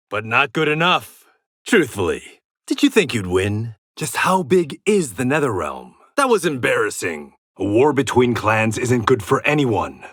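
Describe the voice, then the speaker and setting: A man's voice: mid-range voice, fairly bright tone, dry recording, studio quality. An actor playing a proud, stern blind swordsman in a fighting game, with clipped, taunting and wry delivery. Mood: menacing, taunting. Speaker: video game fighter character. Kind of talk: dramatic fighting game voice lines.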